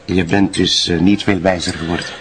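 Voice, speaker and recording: An actor playing a dry, teasing police colleague in naturalistic radio drama style. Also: male voice, sits low, steady tone, a little fast, a little hiss or hum, close to an everyday voice.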